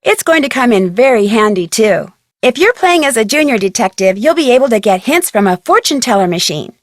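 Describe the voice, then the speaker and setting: A woman's voice: a little high, sing-song, a little fast, no echo. Friendly young girl detective, dry sound. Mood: upbeat. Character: friendly, inquisitive young female amateur detective. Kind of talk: video game narration and dialogue.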